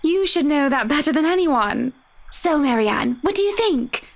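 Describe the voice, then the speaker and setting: An actress voicing a gracious, doting married woman in a visual novel, solicitous and effusive, with a gently chiding manner. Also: high voice, expressive, normal speed, vocal fry.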